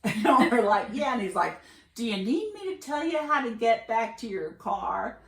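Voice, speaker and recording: A woman's voice: a little high, moderate pace. A middle-aged woman podcast host casually telling travel anecdotes, animatedly acting out the dialogue as she goes. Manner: animated retelling with quoted dialogue. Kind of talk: casual conversational storytelling. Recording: roomy echo with clear background noise.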